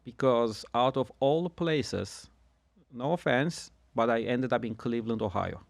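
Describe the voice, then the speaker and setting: Man, middle-aged, gravelly, measured, dry humor, dead-dry room. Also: medium pitch, no room sound. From Turkey. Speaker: guest, insurance agent. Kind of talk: casual podcast conversation.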